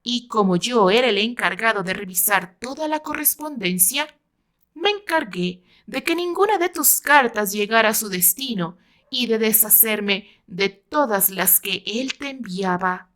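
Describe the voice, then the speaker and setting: A woman voicing an obsessed, bitter gentleman, high-pitched. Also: animated, unhurried. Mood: bitter confession. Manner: dramatic, anguished, accusing. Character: obsessed, bitter gentleman confessing to dark deeds. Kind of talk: dramatic audiobook acting.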